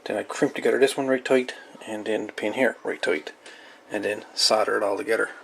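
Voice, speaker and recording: A man giving a casual hands-on repair walkthrough in a halting, folksy way with colloquial grammar. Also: fairly low pitch, fairly even intonation, vocal fry, fairly bright tone, a little hiss or hum. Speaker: repair video host. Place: indoor electronics workbench.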